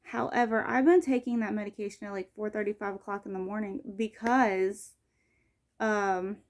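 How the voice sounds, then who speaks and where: A woman's voice: medium pitch, steady tone, moderate pace. A woman in her twenties talking casually in a personal vlog, rambling with lots of "like" and "um" and correcting herself as she goes. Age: 20s. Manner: rambling, heavy use of "like" and "um", frequent self-corrections. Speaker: vlogger.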